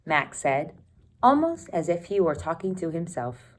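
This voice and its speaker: A woman with a slightly low voice reads aloud as a teacher would from a children's novel. Her delivery is expressive and deliberate, setting out the story phrase by phrase.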